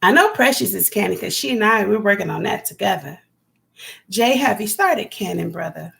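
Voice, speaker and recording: Raspy chatty woman, gravelly voice. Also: mid-range voice, lively intonation, moderate pace, a bit bright. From California. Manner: chatty, rambling, talks to viewers by name. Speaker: homesteading vlogger. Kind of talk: casual live-stream chat with viewers.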